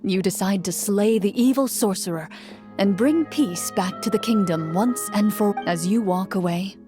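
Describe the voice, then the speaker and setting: A woman voicing a game master who narrates in the second person, in a measured storytelling manner. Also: mid-range voice, close to an everyday voice. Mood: steady. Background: music.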